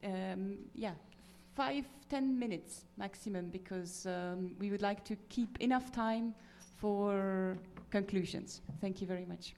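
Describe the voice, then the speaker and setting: A woman moderating a conference session, halting with many fillers. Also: medium pitch, gravelly, dark tone, a little hiss or hum. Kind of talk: introducing speakers at a conference.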